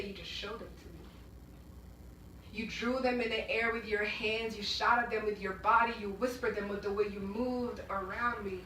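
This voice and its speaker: A woman's voice: medium pitch, a little hiss or hum. Young woman poet from Rwanda, emphatic and rhythmic, mid-range voice, captured by a far-off camera mic in a reverberant gallery. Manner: emphatic, rhythmic delivery with sudden loud bursts. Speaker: spoken-word poet. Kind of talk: live spoken-word poetry performance. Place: small indoor art gallery.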